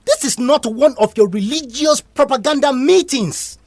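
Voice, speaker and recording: A brash Nigerian organiser, played by a woman, mocks and pushes for money in an audio drama. The voice swings widely in pitch and sounds dry and close. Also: expressive, normal speed, no room sound. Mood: scornful. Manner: forceful, mocking. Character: brash, money-minded, mocking pageant organiser.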